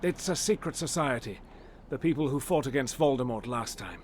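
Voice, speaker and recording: An actor playing a friendly, encouraging wizard guide in a video game, with a reassuring manner. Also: male voice, medium pitch, lively intonation, a little fast, slight background noise, natural voice. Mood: welcoming, reassuring. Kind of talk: scripted video game cutscene acting.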